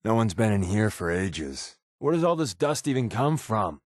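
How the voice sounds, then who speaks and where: A man voicing a wry, secretive spy companion in a video game, with casual, sardonic, deadpan quips. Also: fairly low pitch, normal speed, dry recording, clean studio sound. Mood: deadpan, musing. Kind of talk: game companion dialogue lines.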